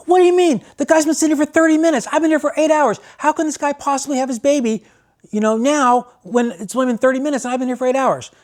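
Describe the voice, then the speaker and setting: Man acting out frazzled, high-pitched American expectant father. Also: fast. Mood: indignant. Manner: agitated, protesting, repeats himself. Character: frazzled, indignant expectant father, easily fooled stranger. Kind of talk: comic anecdote acting.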